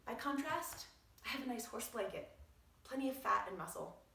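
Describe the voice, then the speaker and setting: A woman reading aloud as a doctor explaining things in a measured, articulate, explanatory way. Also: fairly high pitch, expressive, creaky, bright, forceful tone. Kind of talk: read-aloud narration with brief asides. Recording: roomy echo, distant from mic.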